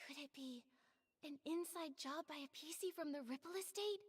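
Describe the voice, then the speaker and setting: A woman playing a gentle, curious young heroine in a video game, speaking politely and thoughtfully. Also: high-pitched, moderate pace, close to an everyday voice.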